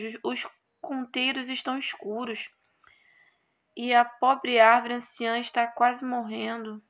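A woman's voice: fairly high pitch, a bit bright. A Brazilian woman voicing a curt, scornful horned male demon in a visual novel game. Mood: glum. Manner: scornful, impatient.

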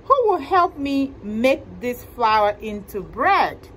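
Storybook mother hen, read by a woman: high, slow, resolute and industrious, with noise behind. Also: very animated, a bit bright, exaggerated voice. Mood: hopeful asking.

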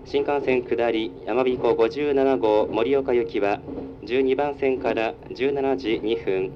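A man's voice: steady tone, a little slow. A man working as a train conductor makes formal, polite onboard announcements over a public address speaker. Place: inside a moving train. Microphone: recorded from the carriage speaker. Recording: muffled train PA, rumbling vehicle noise. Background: train running noise.

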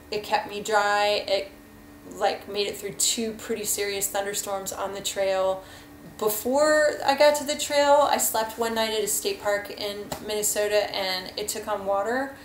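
A woman's voice: mid-range voice, a little hiss or hum. A woman in her thirties rambling frankly through a casual gear review at home, full of fillers, recorded on a webcam at her desk. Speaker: hiking vlogger. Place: kitchen at home, indoors. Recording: echoey room, faint ambience.

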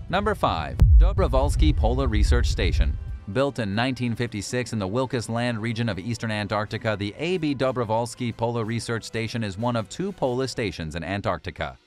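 A man reading scripted documentary-style narration for a list video. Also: mid-range voice.

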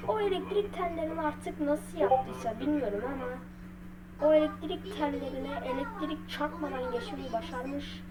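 A woman telling a story to viewers as unscripted commentary, in halting, broken phrases. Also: high voice, noisy background. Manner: halting, broken phrases with repeated filler words. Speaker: video creator narrating a horror theory. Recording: loud music under the voice. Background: loud music.

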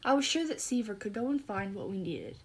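A woman's voice: medium pitch, animated, a little fast, lightly acted. A female performer voicing an eager, bossy, clever young girl who confidently explains things in a scripted audio drama.

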